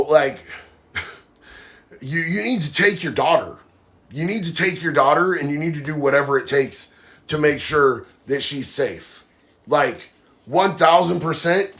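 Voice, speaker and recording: A man's voice: a little high, a little slow, fairly bright tone. Male streamer, nasal with a sinus infection, blunt and heated delivery. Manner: blunt, heated, says bro a lot. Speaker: livestream host. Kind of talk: casual live chat reading comments.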